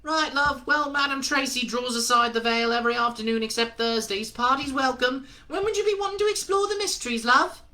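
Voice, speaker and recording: A man's voice: very high voice, brisk, hardly any pauses, pushed and bright, voice pushed up, full character voice. A man voicing a chatty middle-aged woman who tells fortunes and calls everyone love. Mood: breezy.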